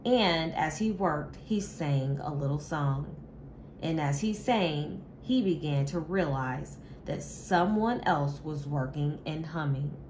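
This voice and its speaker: Woman reading a kids story out loud, real slow, kinda low voice, expressive, bit of noise behind her. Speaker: teacher reading a picture book aloud. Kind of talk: children's story read-aloud.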